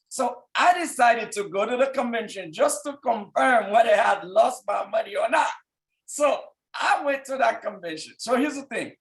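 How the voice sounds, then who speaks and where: A man in his thirties from Haiti with a thick Haitian accent, giving a fervent motivational talk to people on a call. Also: high-pitched. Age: 30s. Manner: fervent, rising to shouts at peaks. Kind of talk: motivational speech.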